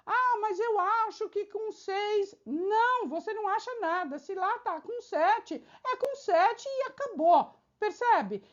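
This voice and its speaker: A woman's voice: very high voice, dull audio. A woman teacher giving an exam-prep video lesson, explaining step by step with examples and frequent check-in questions to the viewer.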